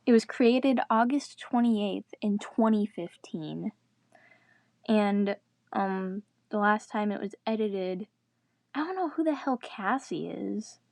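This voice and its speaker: A woman's voice: medium pitch, animated, takes it slowly, creaky, a little mellow, no room sound. A young adult woman reading and chatting casually into a tablet microphone, in a self-deprecating, giggly manner. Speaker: amateur reader and narrator. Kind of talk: casual read-aloud of a story with self-mocking asides. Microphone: tablet's built-in microphone.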